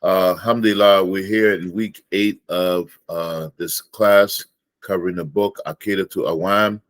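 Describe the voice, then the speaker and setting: A man with a deep voice leads an informal religion class. His delivery is halting, circling back over words, and the sound is close and dry. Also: fairly even intonation, dry recording.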